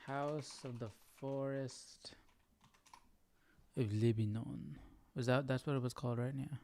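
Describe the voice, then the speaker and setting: A man's voice: mid-range voice, steady tone, measured pace, dark tone. A man hosting a Bible study livestream, giving casual, off-the-cuff reactions. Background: faint background music.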